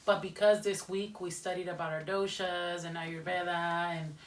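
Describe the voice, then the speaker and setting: A woman's voice: lowish voice, little pitch movement, moderate pace. A woman in casual family conversation, explaining things plainly like a home cook talking to her household. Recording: distant mic, faint background noise.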